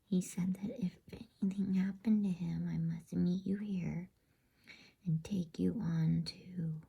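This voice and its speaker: A wary storybook beaver, voiced by a woman, whispers in a throaty, very husky hush. The creature is secretive and polite, urging care in a dark, muted tone. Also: fairly low pitch, steady tone, takes it slowly, full character voice. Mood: friendly, confiding. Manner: hushed, urgent, polite.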